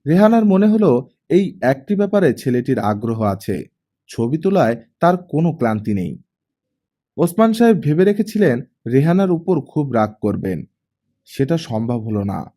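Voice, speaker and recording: A man reading a story aloud as an expressive audiobook narrator. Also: mid-range voice, moderate pace. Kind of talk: expressive scripted story narration, voicing the dialogue.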